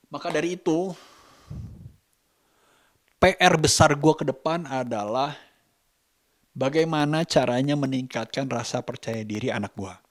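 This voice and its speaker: Slow-talking Indonesian man, halting and thoughtful. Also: mid-range voice. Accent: Indonesian, Jakarta colloquial. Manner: halting, searching for words, thoughtful. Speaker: vlogger and parent. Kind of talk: reflective casual monologue to camera.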